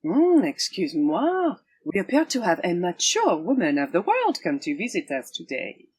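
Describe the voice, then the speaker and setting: A woman's voice: on the low side, wildly swooping pitch, normal speed, a little mellow, husky, full character voice. A woman playing a proud, teasing swashbuckling swordswoman in an audio drama, with a theatrical, imperious manner. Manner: theatrical, formal phrasing, imperious.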